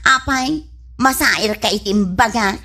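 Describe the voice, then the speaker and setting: A woman's voice: fairly high pitch, slow, pushed and bright, full character voice. A woman playing a boastful, whiny young pregnant woman in an Ilocano comedy radio drama, with an Ilocano accent. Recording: music bed behind the voice.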